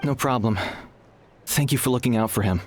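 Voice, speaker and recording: A man playing a calm, helpful warrior in a video game, talking in a measured, reassuring way. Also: medium pitch, slight background noise, lightly acted. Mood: grateful. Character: calm, helpful male warrior giving sombre information.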